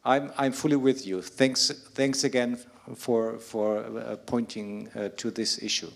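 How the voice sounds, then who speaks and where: A man answering as a panelist, halting with frequent restarts and fillers. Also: mid-range voice, steady tone, gravelly.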